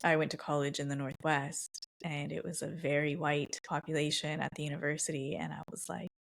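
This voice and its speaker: A woman podcast interviewer with an American accent speaks in a low register with plenty of vocal fry. Her talk is casual and reflective, with thoughtful, loosely built sentences. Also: little pitch movement, a little fast, slight background noise. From Los Angeles.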